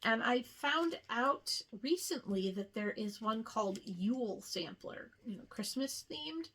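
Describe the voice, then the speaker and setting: A woman hosting a casual craft show-and-tell, chatting in an unhurried way with rambling asides. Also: fairly high pitch, lively intonation, fairly bright tone, rough recording. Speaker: cross-stitch video host.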